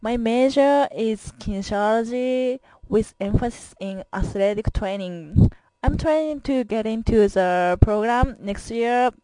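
A woman's voice: medium pitch, dark tone, dry recording, rough recording. A young Japanese woman, a college student whose first language is Japanese, speaks English with a Japanese accent as she introduces herself to her class. She talks carefully and earnestly, with simple phrasing and small grammar slips. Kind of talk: self-introduction recording for class.

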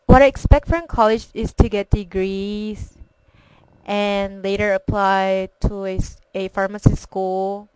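A woman's voice: mid-range voice, steady tone, unhurried, a little mellow, clear, resonant tone, no room sound, poor audio. A young woman from Korea, a college student with a strong Korean accent whose first language is Korean, reading a personal introduction carefully. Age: young adult.

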